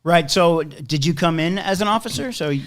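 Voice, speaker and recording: A man's voice: a little high, a little fast, a bit bright. A man hosting a casual interview podcast, friendly, asking questions with frequent stumbling restarts.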